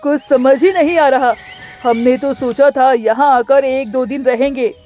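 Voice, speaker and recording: A woman's voice: sits high, fairly even intonation, measured pace, mellow, soft background music. A woman voicing a courteous, earnest visiting bird, a weary traveller in a cartoon story, on a dull lo-fi recording. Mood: troubled.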